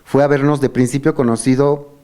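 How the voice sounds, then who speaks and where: A man's voice: medium pitch, fairly even intonation, a little fast, mellow, a little hiss or hum. A middle-aged man with a Mexican Spanish accent, hosting and telling stories in a casual, reminiscing chat. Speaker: host.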